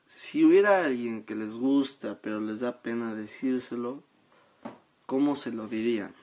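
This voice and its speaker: Male, late teens, Mexican accent. Unhurried, laid-back live streamer with a lowish voice, halting and slangy. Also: a little mellow, a little hiss or hum. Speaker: social media live streamer. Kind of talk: casual chat, reading out and answering viewer comments. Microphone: phone at arm's length.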